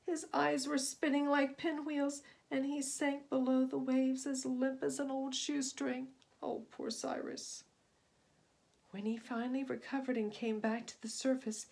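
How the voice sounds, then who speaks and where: A woman with a fairly high voice narrates a children's picture book. Her reading is dramatic and emphatic, dropping to a hush and rising to a boom as a storyteller would for young listeners. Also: gravelly. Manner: dramatic, emphatic, varying from hushed to booming. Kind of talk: expressive scripted storybook narration.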